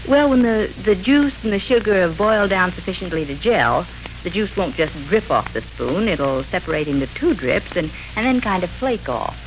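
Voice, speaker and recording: A middle-aged woman playing a practical, patient mother in a 1940s radio drama, gently firm and instructive. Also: lowish voice, lively intonation, normal speed, soft background music, natural voice, rough recording. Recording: hissy 1940s radio recording.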